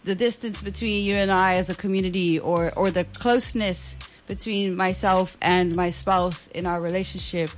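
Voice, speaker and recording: A woman's voice: on the low side, steady tone, moderate pace, soft background music. A woman from Bermuda, a dance artist, talking earnestly about her show in a radio studio interview, with frequent filler words. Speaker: guest dancer and choreographer.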